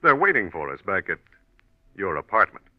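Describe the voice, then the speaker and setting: An actor playing a dry, probing American homicide lieutenant in a 1940s radio drama, folksy and deliberately offhand as he questions. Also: sits low, big pitch swings. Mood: cool, firm. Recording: muffled old radio recording.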